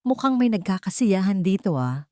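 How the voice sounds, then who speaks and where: Jolly, relaxed uncle in an audio story, acted by a woman, Filipino accent, bouncy delivery, dry sound. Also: medium pitch, moderate pace, no echo, lightly acted. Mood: playful. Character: cheerful, easygoing man, a doting uncle.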